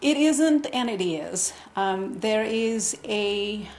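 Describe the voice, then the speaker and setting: A woman from India, a guest on a TV interview, explaining her views in an articulate, measured way. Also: mid-range voice, expressive, darkish tone, roomy sound. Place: TV studio.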